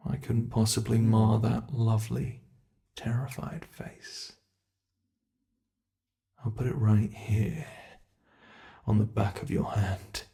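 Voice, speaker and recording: A man performs a centuries-old monster in an ASMR roleplay. It is a cruel, playful predator that taunts its prey in a gravelly voice. He speaks slowly and deliberately, often sinking into close, menacing whispers. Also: on the low side, level tone, warm tone.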